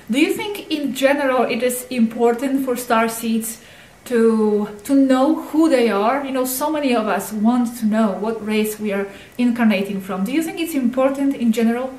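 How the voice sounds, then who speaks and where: A woman's voice: on the high side, darkish tone, roomy sound, low-quality recording. A woman interviewer asking probing spiritual questions, repeating and rephrasing them.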